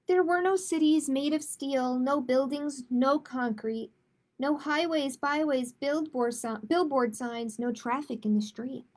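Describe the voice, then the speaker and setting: A woman speaking as a children's storytime host, in a measured manner suited to picture books and simple chat with families. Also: high-pitched, darkish tone. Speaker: children's librarian hosting storytime. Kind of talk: picture book read-aloud, then casual announcements.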